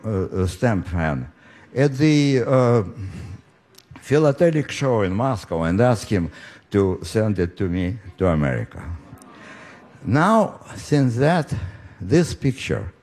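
Halting very old Russian-accented man. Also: medium pitch, darkish tone. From Ukraine. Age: 90s. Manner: halting, with frequent pauses and restarts. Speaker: storyteller. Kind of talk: live storytelling on stage. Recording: live audience in the room.

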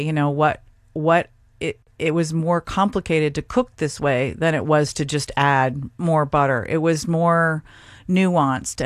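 A middle-aged woman chef from Seattle, talking thoughtfully in an interview with frequent pauses and restarts. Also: low-pitched, no echo, studio quality. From Seattle, Washington, USA. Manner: thoughtful, hesitant with many restarts. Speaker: chef and guest. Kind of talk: radio interview conversation.

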